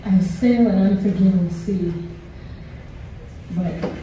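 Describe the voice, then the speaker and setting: Young woman poet, slow measured reading, very mellow tone, distant echoey venue sound. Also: medium pitch, a little hiss or hum. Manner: slow, measured, reading from a book at a mic stand. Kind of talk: spoken word poetry reading. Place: small performance venue stage, indoors. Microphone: stand mic, filmed from the audience.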